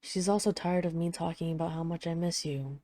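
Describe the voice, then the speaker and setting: A woman playing an apologetic, lovesick mafia boss in hiding, speaking in an earnest, halting, confessional way, as in an intimate audio roleplay phone call. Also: lowish voice, steady tone, dark tone, close to an everyday voice. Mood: rueful.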